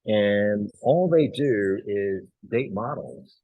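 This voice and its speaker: Husky low-voiced man from Hong Kong. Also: slow, no room sound, narrow, tinny audio. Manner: animated storyteller, many fillers and restarts. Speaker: actor and writer, guest. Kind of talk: casual interview.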